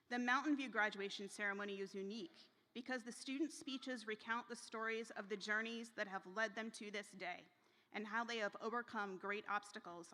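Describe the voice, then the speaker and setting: A woman presenting a graduation ceremony, introducing speakers in a measured, formal way in a large hall. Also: medium pitch, pushed and bright. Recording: reverberant hall.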